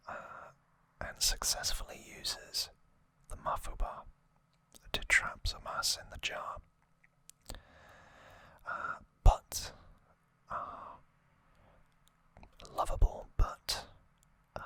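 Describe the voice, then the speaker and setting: An ASMR host speaking in a breathy, unvoiced whisper, giving a soft, rambling, unscripted trivia recap with personal opinions. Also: no room sound.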